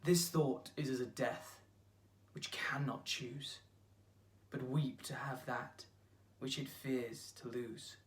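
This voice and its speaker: An actor playing a grieving, brooding lover who recites Elizabethan verse in a slow, weighty, declamatory way. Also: mid-range voice, fairly even intonation, roomy sound, natural voice. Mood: solemn, mournful. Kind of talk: theatrical monologue acting. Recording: distant microphone.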